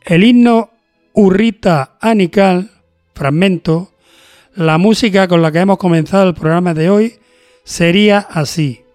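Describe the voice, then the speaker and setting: A male host a cultural radio show speaks in a high voice. His delivery is slow and deliberate, phrased in short, measured pieces. The sound is close and dry. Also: a little mellow, no room sound, faint music behind. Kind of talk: scripted narration and interview.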